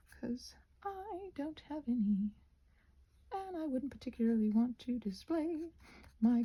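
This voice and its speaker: A woman chatting in a casual, rambling ASMR style, halting and self-interrupting, often slipping toward a whisper. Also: on the high side, creaky, warm tone, breathy edge. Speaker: host of a craft ramble video.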